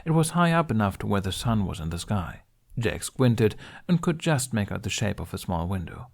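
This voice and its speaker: A man's voice: on the low side, big pitch swings, normal speed, creaky. A man reading a story aloud as scripted narration. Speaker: podfic reader.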